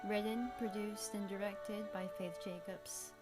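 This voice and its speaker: A woman's voice: mid-range voice, fairly even intonation, a little slow, rough recording. A woman reading scripted audio drama credits as an announcer. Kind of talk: scripted narration. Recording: loud music under the voice.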